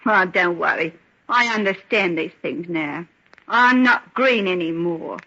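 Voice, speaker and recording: A woman's voice: fairly low pitch, expressive, measured pace, bright, forceful tone, exaggerated voice. An actress playing a bitter, wronged working-class young woman with a sardonic, defiant manner in an old-time radio drama. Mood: bitter, resigned. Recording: dull, lo-fi vintage radio recording.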